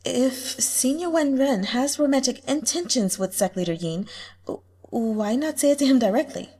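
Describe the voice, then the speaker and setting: A woman's voice: high-pitched, normal speed, dark tone, husky, long reverb tail, pitched above the performer's own voice. A woman voicing a kind, heartsore young female cultivator, considerate and hesitant, in a fantasy audiobook. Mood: nervous. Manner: hesitant, considerate, self-deprecating.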